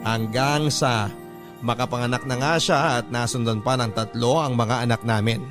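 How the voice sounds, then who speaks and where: A man's voice: mid-range voice, steady tone, moderate pace. A man narrating his own family story as a radio-drama letter, heartfelt and addressing the host directly. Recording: music bed under narration.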